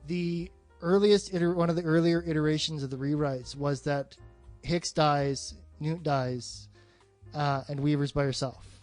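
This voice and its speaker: A man's voice: a little high, fairly even intonation, measured pace, darkish tone, no room sound, soft background music. A man chatting casually about film as a podcast co-host, blunt and freely swearing.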